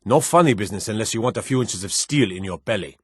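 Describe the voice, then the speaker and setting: An actor playing a stern, dutiful fantasy guard and soldier in a video game, formal and commanding, with measured delivery. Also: male voice, lowish voice, expressive, breathy edge, no echo, clean studio sound. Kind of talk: scripted fantasy game dialogue.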